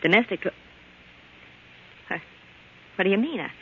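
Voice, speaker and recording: A woman's voice: medium pitch, expressive, normal speed, slight background noise, close to an everyday voice. A woman playing a hard, scheming, suspicious murder plotter in a 1940s American radio thriller, speaking in a tense, clipped, menacing way. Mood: wary, probing. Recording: muffled old-time radio recording.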